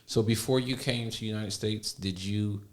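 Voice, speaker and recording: A man hosting a casual podcast interview, opening brightly and prompting his guest with quick questions and frequent restarts. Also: fairly low pitch, steady tone. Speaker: podcast host.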